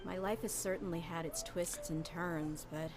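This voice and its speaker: A tough but tender desert mercenary woman, voiced by an actress, speaking calmly and from the heart in a slightly low voice. Game music plays clearly behind her. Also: moderate pace, natural voice. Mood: grateful. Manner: calm, reflective, heartfelt. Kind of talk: game voice acting.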